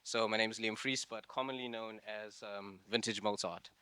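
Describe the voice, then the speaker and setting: A man's voice: fairly low pitch, fairly even intonation, normal speed, sharp tone, clear, resonant tone, no echo. A young man from Zimbabwe giving a live masterclass in a loose, conversational way, with plenty of fillers. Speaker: masterclass speaker. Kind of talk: live presentation to an audience.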